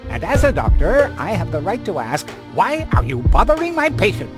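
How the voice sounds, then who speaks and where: An actor playing a pompous, grumpy small-town doctor in a game, officious, sarcastic and theatrical. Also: male voice, high-pitched, wildly swooping pitch, moderate pace, music in the background. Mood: officious, mocking. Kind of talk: animated game dialogue.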